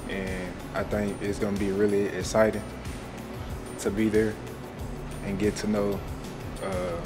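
A man's voice: on the low side, little pitch movement, unhurried. A young man, a cook, giving simple interview answers inside a cafe. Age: young adult. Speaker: head cook and incoming student. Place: indoor cafe. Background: music.

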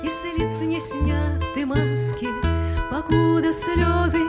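A woman singing a slow, lyrical ballad at a stand mic on a small concert stage, with two acoustic guitars playing. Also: very high voice, fairly even intonation, over loud music. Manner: sings in a slow, lyrical, melancholy ballad style. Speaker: singer. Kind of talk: live song with guitar accompaniment.

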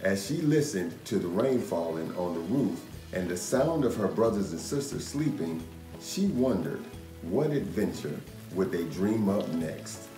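A man in his thirties reading a children's picture book aloud in an expressive, measured storytelling manner. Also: deep voice. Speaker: storytime reader, host. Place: indoor room. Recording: roomy echo. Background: music.

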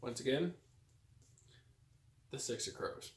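A man's voice: mid-range voice, lively intonation, takes it slowly. A young man chatting casually about books as a co-host of a home video. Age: young adult. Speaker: book vlogger co-host. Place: small room at home with bookshelves. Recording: roomy echo from camera distance.

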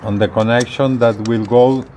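A man in his sixties giving a casual, plain-spoken, step-by-step product demonstration with halting phrasing. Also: mid-range voice, steady tone, a little mellow. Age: 60s. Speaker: gadget reviewer. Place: outdoors on a residential balcony. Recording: clear outdoor ambient noise.

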